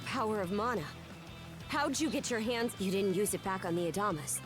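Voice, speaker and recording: Brash young pirate woman with lively delivery, played by an actress. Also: medium pitch, fairly quick, over music, close to an everyday voice. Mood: proud, explaining. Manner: blunt, taunting, confident delivery. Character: brash young Norman pirate woman, sharp-tongued, proud, fierce fighter. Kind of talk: English video game voice acting.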